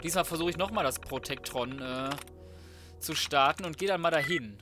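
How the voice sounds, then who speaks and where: Young male gamer, fairly high voice, slangy casual commentary, loud game music behind. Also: moderate pace. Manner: colloquial, slangy muttering to himself while playing. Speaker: let's play host. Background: loud game music, typing and gunfire.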